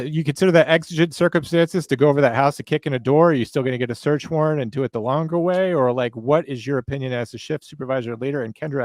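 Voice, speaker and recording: A quick-talking man co-hosts an analytical discussion of crime and law. His pitch is average and the sound is dry and close. He speaks conversationally, reasoning things out and posing questions. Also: no room sound. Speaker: podcast co-host. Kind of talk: conversational legal and police analysis.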